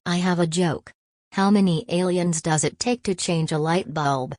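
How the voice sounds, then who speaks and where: A polite female AI assistant played by a woman, pitched low. The delivery is formal and literal, deadpan with a faint menace, as in a scripted comedy podcast. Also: normal speed, close to an everyday voice.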